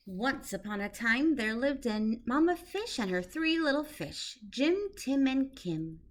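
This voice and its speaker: A woman teacher reading a children's picture book aloud in an expressive storytelling manner. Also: a little high, unhurried.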